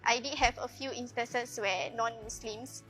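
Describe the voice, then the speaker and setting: Bright-voiced young woman. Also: a little high, steady tone, measured pace, faint music behind, poor audio. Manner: candid, conversational. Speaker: clinic assistant. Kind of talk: panel discussion.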